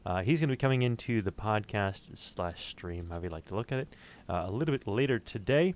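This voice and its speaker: A man with a fairly low voice chats as a podcast host. His delivery is casual and loose, full of joking, profane banter. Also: lively intonation, quick pace, a little hiss or hum. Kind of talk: casual livestream chat.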